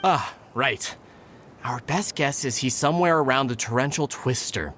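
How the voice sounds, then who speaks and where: A man's voice: mid-range voice, normal speed, a bit bright, soft background music, natural voice. A man playing a touchy young man, a bickering brother, in a video game. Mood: subdued.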